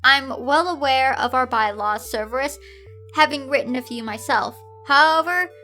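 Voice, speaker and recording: Woman reading as a stern, scolding father, high-pitched. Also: moderate pace, pushed and bright, faint music behind. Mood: formal, cold. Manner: severe, reproachful. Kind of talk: fan fiction storybook reading.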